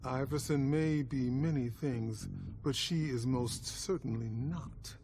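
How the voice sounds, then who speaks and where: Slow, courtly, menacing vampire lord. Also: male voice, medium pitch, a little mellow, husky, faint music behind. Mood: wary, measured. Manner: courtly, measured, old-fashioned politeness. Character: suave, formal, menacing male vampire clan leader. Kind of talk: dramatic video game acting.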